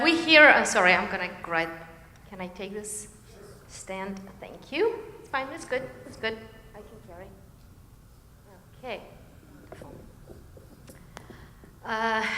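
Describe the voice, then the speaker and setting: Slow-speaking Ukrainian-accented woman in a church hall. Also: fairly high pitch, bright, forceful tone, slight background noise. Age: 40s. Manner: halting, with many fillers. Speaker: guest singer. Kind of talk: church testimony and introduction. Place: church sanctuary. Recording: echoey church hall with music.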